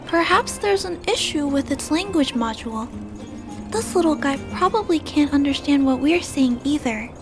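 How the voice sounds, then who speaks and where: A woman playing a gentle, compassionate little girl in a video game, hesitant and soft-hearted as she speaks. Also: high-pitched, animated, normal speed. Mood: curious, gentle. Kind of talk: video game character acting. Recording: music under the voice.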